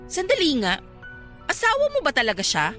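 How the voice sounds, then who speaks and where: A smug, cold-hearted Filipino rival in a radio drama. Her high voice swings widely as she taunts and snaps dismissively, over a music bed. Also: huge pitch swings, a little fast, a bit bright, exaggerated voice. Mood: smug, taunting. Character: smug, cold-hearted young married woman, a scornful rival.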